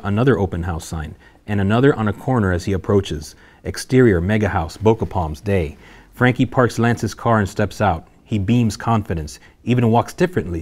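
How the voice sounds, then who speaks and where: A man's voice: lowish voice, a little mellow. A man reading scene headings and action lines aloud as the narrator at a screenplay table read.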